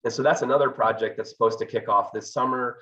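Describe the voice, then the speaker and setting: A man giving a research talk as an engineering professor, hoarse from a cold, speaking hesitantly with many fillers. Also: mid-range voice, fairly even intonation, fast, bright, forceful tone, muffled recording. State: hoarse, getting over a cold.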